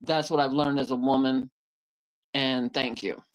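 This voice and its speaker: A woman's voice: very deep voice, steady tone, unhurried, highs cut off. A woman on a discussion panel, speaking candidly and reflectively, with many hesitations.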